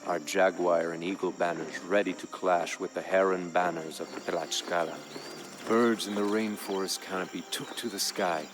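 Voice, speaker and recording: A man voicing a grave Aztec jaguar warrior of royal blood, recounting events in measured, solemn game narration. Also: low voice, close to an everyday voice. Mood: grave, foreboding. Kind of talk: scripted dramatic narration for a game. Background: music.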